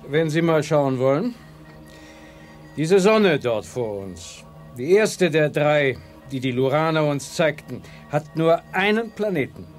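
An actor playing a calm, reasoning professor in a sci-fi audio drama, measured and explanatory. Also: male voice, on the high side, soft background music. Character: calm, reasoning male scientist professor aboard spaceship.

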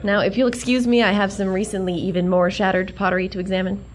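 An actress playing a dreamy, earnest young museum clerk in a comedic audio drama, sheepish and prim. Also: female voice, mid-range voice, flat delivery, fast, close to an everyday voice. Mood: prim, restrained. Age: young adult.

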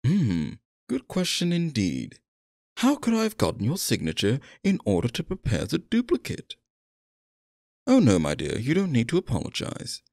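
A man playing a sinister, possessive, courtly stage magician in an ASMR roleplay, coaxing the listener with theatrical, drawn-out phrasing. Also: medium pitch, wildly swooping pitch, a little mellow, dry recording, studio quality. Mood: suave, showy.

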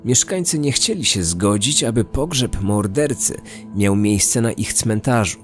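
A man's voice: fairly low pitch, expressive, a little slow, faint music behind. A man narrating a scripted true-crime story as a podcast host.

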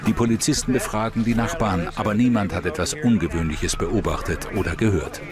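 Very deep-voiced male true-crime narrator. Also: fairly quick, loud music behind the voice. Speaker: documentary narrator.

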